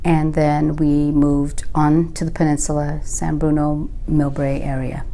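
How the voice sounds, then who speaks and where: A woman in her fifties from California, a native Sicilian and Italian speaker, giving measured, thoughtful oral history answers with frequent pauses. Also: deep voice, flat delivery, creaky, mellow, a little hiss or hum. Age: 50s. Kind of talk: reflective interview answers.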